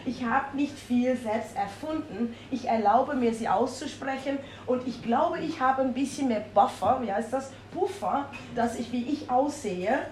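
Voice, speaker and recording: A woman's voice: fairly high pitch, unhurried, hardly any pauses, a little mellow, a little hiss or hum. A woman with a Dutch accent reading a humorous story aloud, playful and self-mocking, with exclamations. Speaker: comic storyteller. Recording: roomy with faint ambience.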